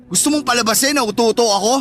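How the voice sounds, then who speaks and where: A man's voice: very high voice, quick pace, fairly bright tone. A man acting in a radio drama as an angry, betrayed young friend, bitter and scornful, with a Filipino accent.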